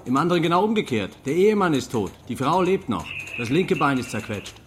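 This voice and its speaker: A man acting a hurried police dispatcher who reports in clipped, factual phrases in a German radio crime drama. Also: fairly high pitch, big pitch swings, faint music behind.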